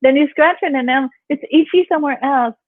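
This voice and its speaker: A woman's voice: high-pitched, a little fast, no room sound. A young woman from Canada, a yoga instructor, chatting casually as a guest with a relaxing voice; she laughs often and acts out what other people say. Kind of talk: casual interview chat. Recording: phone-like call audio.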